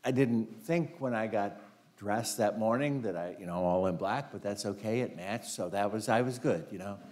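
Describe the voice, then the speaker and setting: A man's voice: lowish voice, moderate pace, a little mellow. Older man, priest, chatty homily, easy laugh, lapel mic, big church. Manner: chatty storytelling, frequent "you know", laughs easily. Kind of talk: conversational homily. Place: large carpeted church sanctuary. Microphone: lapel mic, walking in front of altar.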